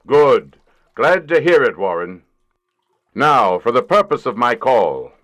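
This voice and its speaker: An overbearing middle-aged company boss for an audio drama. He talks slowly and pompously, in a medium pitch that swings widely. Key words are hammered with blustering emphasis. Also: sing-song, fairly bright tone. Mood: pompous, brisk. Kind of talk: comic audio drama acting.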